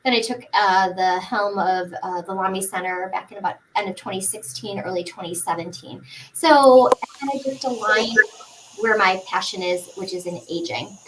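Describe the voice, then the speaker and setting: A woman's voice: medium pitch, moderate pace, background noise. A woman in her forties, a pharmacist guest in a podcast interview, talking earnestly and fluently with frequent fillers and restarts. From United States.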